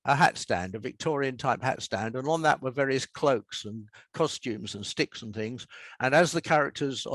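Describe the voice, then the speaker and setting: A man's voice: medium pitch, fairly bright tone. Southern english guy in his seventies, dry wit, telling stories in an interview, no room echo. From South London, England. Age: 70s. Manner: dry, wry humour. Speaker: writer, interview guest. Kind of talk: anecdotal interview talk.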